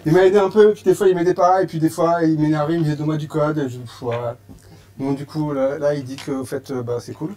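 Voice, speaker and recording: French guy presenting at a meetup, lots of euh and restarts, mellow kinda dark voice. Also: fairly high pitch, steady tone, fast talker. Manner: hesitant, many fillers and restarts. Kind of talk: casual conference talk. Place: meetup room with audience.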